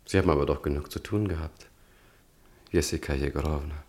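An actor playing a reserved, evasive young man in a German radio drama, polite and measured. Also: a bass voice, fairly even intonation, a little mellow.

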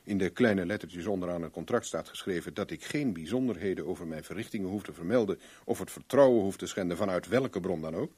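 An actor playing a shrewd, self-assured private investigator in a Dutch radio play, probing in a blunt, wry, plain-spoken way. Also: male voice, deep voice, fast, hardly any pauses, a little mellow, breathy edge. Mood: firm, matter-of-fact.

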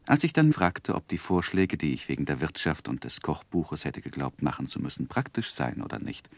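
A man's voice: very deep voice, sing-song, moderate pace, creaky, no echo, exaggerated voice. An actor playing an earnest, tender, lovestruck young man who tells his life in a 1960s German radio drama, with careful literary phrasing. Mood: reflective narrating.

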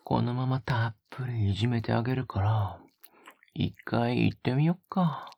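A man playing a tender, teasing, dominant male lover in an intimate romantic audio drama, speaking softly and coaxingly, often in a breathy whisper. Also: medium pitch, moderate pace, no room sound, highs cut off. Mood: teasing, hushed. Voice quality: breathy, husky.

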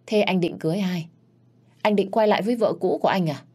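Woman as a needling young mistress, quick and husky, teasing. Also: mid-range voice, a little mellow, voice pushed up, natural voice. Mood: wheedling. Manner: wheedling, taunting.

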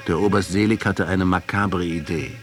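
An actor playing a detached, probing writer from Argentina in a radio drama, speaking in a deliberate, insistent way. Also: male voice, low-pitched, steady tone, music in the background, close to an everyday voice.

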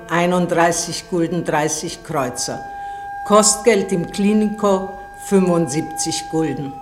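An actress playing a dutiful, thrifty mother of olden times, reciting letters and accounts in a measured, matter-of-fact way in a radio play. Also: fairly low pitch, lightly acted.

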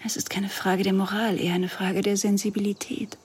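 An elegant, self-assured woman of middle age in a radio play. Her mid-pitched voice is breathy and husky, and her speech is controlled, superior and critical. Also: level tone, brisk, a little mellow. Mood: cool, superior. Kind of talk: radio drama dialogue.

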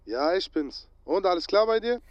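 A man's voice: sits high, animated, normal speed, crowd noise. Male taxi dispatcher, friendly and familiar, lo-fi muffled sound, radio drama delivery. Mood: jovial. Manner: familiar, jovial. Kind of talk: naturalistic radio drama acting. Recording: dull, band-limited call audio.

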